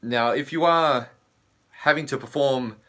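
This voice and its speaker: A man with an Australian accent, a vocal coach whose voice is husky from laryngitis, explaining vocal care in a relaxed way with many fillers. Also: medium pitch, unhurried. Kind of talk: teaching video.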